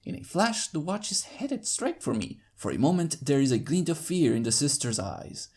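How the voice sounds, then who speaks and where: A woman's voice: deep voice, sing-song, moderate pace, a little mellow, breathy edge. A woman narrating a story in the first person, reading expressively. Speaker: fan fiction narrator.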